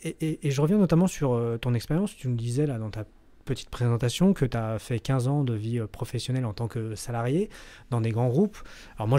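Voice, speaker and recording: Mellow-voiced podcast host, halting speech. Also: male voice, medium pitch. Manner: halting, many fillers and restarts. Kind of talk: casual interview questions.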